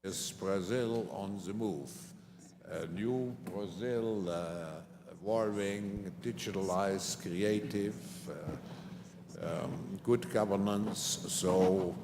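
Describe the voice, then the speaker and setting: A man in his seventies moderating a formal conference panel, speaking haltingly with deliberate pauses. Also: low-pitched. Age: 70s. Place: large conference hall stage. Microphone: gooseneck stage microphone. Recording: echoey hall, noisy background.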